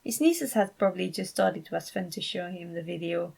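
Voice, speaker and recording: A woman's voice: lowish voice, lively intonation, fairly quick, creaky, darkish tone, husky. A woman reading scripted fan fiction aloud as its narrator.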